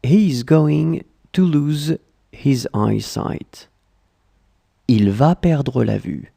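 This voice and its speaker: A language tutor voice reading short phrases in slow dictation, repeating each one and splitting it into chunks. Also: medium pitch, animated, creaky, mellow.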